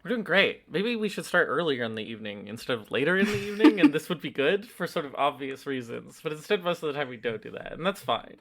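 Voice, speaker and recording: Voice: mid-range voice, big pitch swings, fast talker, hardly any pauses, fairly bright tone. A podcast host chatting casually, joking and rambling through the intro. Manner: joking, self-deprecating, rambling. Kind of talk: casual chat.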